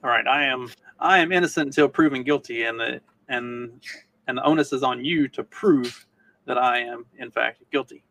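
A man chatting casually about politics as a podcast co-host, in a halting, questioning way. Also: medium pitch, a bit bright, no echo, low-quality recording.

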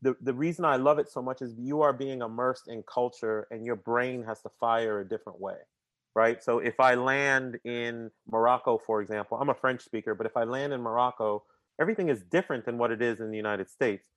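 A man's voice: medium pitch, a little fast, a bit bright, dry recording. A man talking casually and energetically as an interview guest, often repeating words as he starts sentences and using slang like "man" and "bruh". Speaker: podcast guest.

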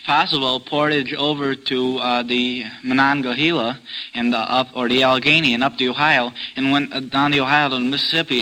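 A young male quiz panelist, piecing his answer together aloud in a halting, uncertain way. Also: medium pitch, bright, forceful tone, low-quality recording.

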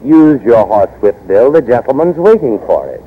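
An actor playing a bold, headstrong young American consul in old-time radio drama, cocky, forceful and plain-spoken, with an American accent. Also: male voice, mid-range voice, sing-song, normal speed, noisy background, lightly acted. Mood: defiant, mocking. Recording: dull vintage radio recording.

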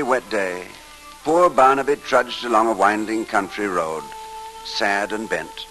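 A man narrating a story for 1940s style radio in an expressive, dramatic way. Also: lowish voice, rough recording. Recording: old radio recording with music behind.

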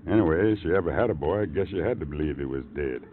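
An actor playing a gruff, brooding rooming-house man in a 1950s radio drama, musing in terse fragments. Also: rumbling low, expressive, fast. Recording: tinny, noisy old radio recording.

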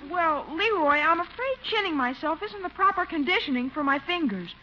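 A woman's voice: very high voice, big pitch swings, a little fast, bright, forceful tone, exaggerated voice. A woman playing a prim, precocious, very polite little boy, formal and over-precise, in radio comedy. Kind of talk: 1940s radio comedy acting. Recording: muffled, noisy old radio recording.

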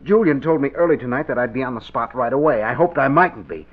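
An actor playing a nervous, cowardly young conspirator in a vintage radio drama, anxious and self-justifying. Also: male voice, medium pitch, fast, close to an everyday voice. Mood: agitated, defensive. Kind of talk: 1940s radio drama acting. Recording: dull vintage radio recording.

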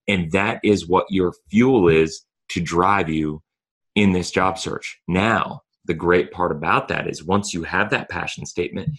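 A man hosting a solo podcast, talking in an earnest coaching tone with frequent restarts, hoarse from a cold. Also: sits low, unhurried, fairly bright tone. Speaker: podcast host.

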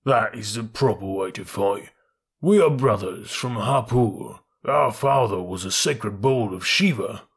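A man voicing a stolid, fatalistic bullock in a storybook reading, slow, solemn and chanting, with a rough voice. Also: on the low side. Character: bullocks, stolid, fatalistic yoke of gun oxen speaking slowly.

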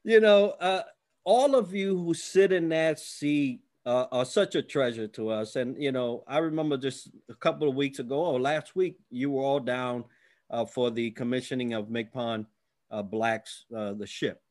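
A man's voice: medium pitch, normal speed, darkish tone. Male podcast host, respectful and formal. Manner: deferential, formal address to guest. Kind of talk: interview with scripted intro.

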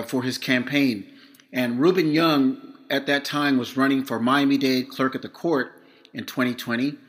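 A middle-aged man from Florida hosts his podcast, his voice a little hoarse. He talks in a measured, prepared way, reading from notes with the odd filler. His pitch sits in the middle range for a man. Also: fairly bright tone. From Florida, USA. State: slightly hoarse. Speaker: podcast host.

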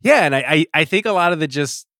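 A man giving his opinions as a guest in a casual discussion, emphatic and deliberate, leaning on key words. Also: fairly high pitch, lively intonation, sharp tone, no echo, studio quality. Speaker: podcast guest, staff writer. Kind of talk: conversational opinion and movie discussion.

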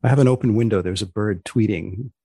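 A man hosting a comic science podcast in a dry, deliberate, deadpan way with mock-formal phrasing. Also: fairly low pitch, gravelly, slightly rough, dry recording. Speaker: podcast host.

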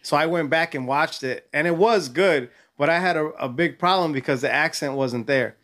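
A woman's voice: deep voice, moderate pace. A woman co-hosting a casual group chat, slangy and slipping between English and Spanish. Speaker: podcast co-host.

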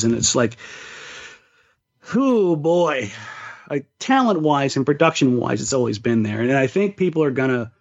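A man co-hosting a sports podcast, chatting casually about basketball with slangy, emphatic phrasing. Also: mid-range voice. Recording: slightly dull, lo-fi voice recording.

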